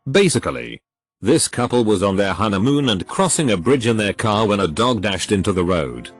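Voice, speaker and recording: Male narrator, low voice, lively intonation, scripted story reading, faint music underneath. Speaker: narrator reading forum posts. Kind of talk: scripted narration of reader stories. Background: faint background music.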